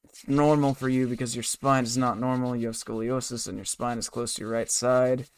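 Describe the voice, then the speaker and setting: A hoarse man live-streaming a game from his bedroom desk, rambling and muttering to himself and his chat. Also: mid-range voice, flat delivery, brisk. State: hoarse, scratchy throat.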